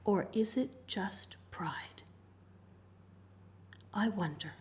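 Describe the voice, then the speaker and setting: A woman performing spoken-word poetry at an open mic, with deliberate, measured and impassioned delivery. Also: medium pitch, animated, a little mellow, slight background noise.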